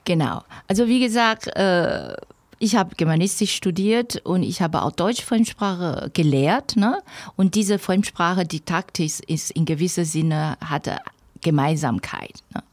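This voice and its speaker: A woman's voice: lowish voice, lively intonation, measured pace, no room sound. A middle-aged woman from Taiwan, a native Chinese speaker with a Chinese accent, answering interview questions in non-native grammar with hesitant, searching phrasing. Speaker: interviewee, language professor. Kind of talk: reflective interview answers in conversational speech.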